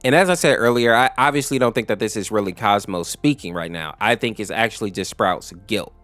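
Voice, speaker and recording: A man's voice: lowish voice, a little fast, fairly bright tone, no room sound. A man narrating a story recap in a conversational, explanatory way, speaking directly to viewers. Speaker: YouTube lore explainer host.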